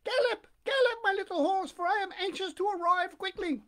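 A woman voicing a childlike wooden puppet boy in a storybook, with a plaintive, excitable, melodramatic manner. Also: very high voice, moderate pace, pitched above the performer's own voice. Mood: joyful, excited. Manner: plaintive, melodramatic, breathy exclamations.

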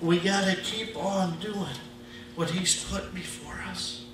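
A Midwestern man preaches slowly and emphatically, his voice sitting high for a man. He is heard from a distance in a very echoey church. A faint hum runs underneath. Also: fairly even intonation. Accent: Midwestern American. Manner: slow, emphatic preaching with casual grammar. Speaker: pastor. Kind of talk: sermon. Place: church sanctuary.

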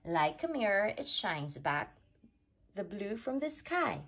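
A woman reading a rhyming children's picture book aloud in an expressive, sing-song storytelling way. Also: medium pitch, slow, husky. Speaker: storytime reader.

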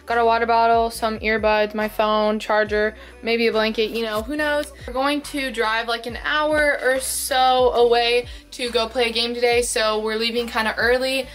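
A woman's voice: fairly high pitch, little pitch movement, moderate pace, few pauses, faint music behind. A young woman with a youthful voice chatting casually and in slang into a handheld camera for a vlog. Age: young adult. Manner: informal, slangy. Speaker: vlogger. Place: bedroom, later a school classroom. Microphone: handheld camera at arm's length.

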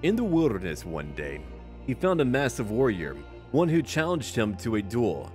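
A man's voice: medium pitch, wildly swooping pitch, normal speed, darkish tone. A man narrating a strategy game roleplay in a theatrical, in-character manner. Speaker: gaming video host. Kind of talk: scripted story narration and game commentary. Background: background music.

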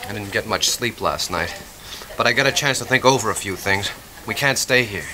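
A man's voice: fairly low pitch, a little fast, background noise, close to an everyday voice. A man acting in an audio drama as a practical, calculating survivor, methodical, matter-of-fact and wry. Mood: calculating, urgent. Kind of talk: naturalistic radio drama acting.

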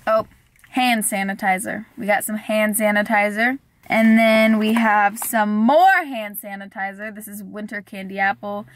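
A woman's voice: mid-range voice, steady tone, unhurried. A young woman vlogging casually from inside a parked car, chatty and giggly, saying "like" a lot. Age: young adult. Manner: chatty, giggly, says "like" often. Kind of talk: casual vlog chat. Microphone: camera on the dashboard.

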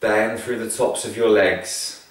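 A man leading a spoken guided meditation in a calm, soothing, measured way with long pauses between phrases. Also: fairly low pitch. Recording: very echoey room, distant from mic.

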